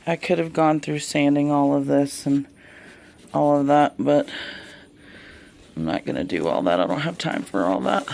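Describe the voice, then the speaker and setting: A woman with a Southern US accent gives a casual hands-on demonstration. Her speech is very slow and folksy, with heavy vocal fry running through it. There is faint noise behind her on the handheld camera recording. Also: deep voice, darkish tone. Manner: folksy, unhurried, murmuring along while working. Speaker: DIY vlogger.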